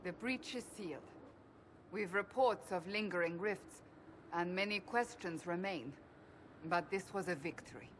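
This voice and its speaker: An actress playing a stern, dutiful warrior woman in a video game, speaking in a measured, formal and grave manner. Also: lowish voice, slight background noise, lightly acted. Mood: grave, wary. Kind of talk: dramatic video game acting.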